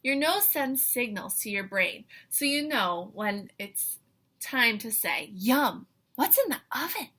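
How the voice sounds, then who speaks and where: A woman reading a picture book aloud to young children like an excited teacher, with animated wonder, questions and asides in a breathy voice. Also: fairly high pitch, slow.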